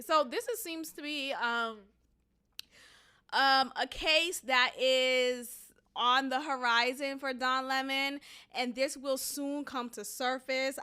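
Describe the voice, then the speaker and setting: A woman's voice: high-pitched, bright, forceful tone, clean tone. A young woman with a Boston accent hosting a solo opinion podcast, talking in an opinionated, sarcastic and emphatic way. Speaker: podcast host.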